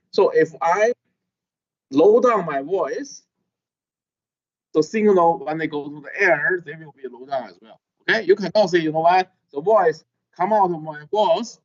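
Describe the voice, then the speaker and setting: A middle-aged man lecturing a class, with a halting manner and constant "okay?" checks. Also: on the high side. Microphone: video call. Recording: dull lo-fi video call audio.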